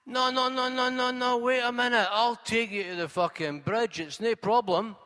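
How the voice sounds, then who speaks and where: A man's voice: very high-pitched, steady tone, normal speed, pushed and bright, clear, resonant tone, big-room sound, voice pushed up. A Scottish man voicing a coarse, pushy male cabbie in quoted dialogue. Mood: brash, loud.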